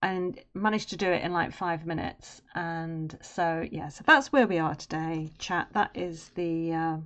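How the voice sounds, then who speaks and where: A woman with a naturally low voice, chatting casually and rambling to her live-stream viewers while she draws. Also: a little slow, fairly bright tone. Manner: chatty and rambling, drifting into murmurs and humming while she works. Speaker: streamer and artist. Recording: dull lo-fi stream audio.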